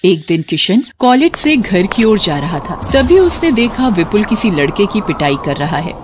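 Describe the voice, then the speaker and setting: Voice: medium pitch, big pitch swings, normal speed. A narrator reading a scripted moral story, telling events plainly in the third person. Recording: clear traffic noise behind.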